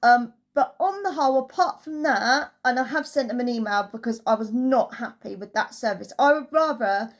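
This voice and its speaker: A woman's voice: a little high, moderate pace, hardly any pauses. A woman giving a casual, chatty holiday review as a travel vlogger, telling it through anecdotes.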